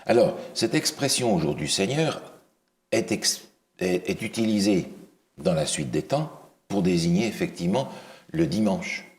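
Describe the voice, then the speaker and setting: Deep-voiced man teaching scripture. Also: big pitch swings, a little slow. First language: not English. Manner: questioning, hesitant with fillers and restarts. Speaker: preacher teaching a Bible study. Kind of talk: interactive Bible teaching.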